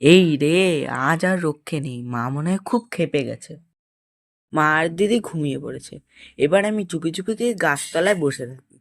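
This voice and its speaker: A cheeky little schoolboy from a cartoon , put on by an adult actor. He is fearless and impudent, talking in plaintive exclamations. The voice is mid-pitched and recorded dry. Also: lively intonation, normal speed, fairly bright tone, no echo. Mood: sulky. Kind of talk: Bengali cartoon voice acting.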